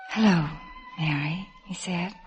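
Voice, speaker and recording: An actress playing a shy, wistful young woman recalling a summer in a halting, artless way in a 1950s radio drama. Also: fairly low pitch, muffled recording, lightly acted. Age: young adult. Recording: 1950s radio broadcast, soft music behind.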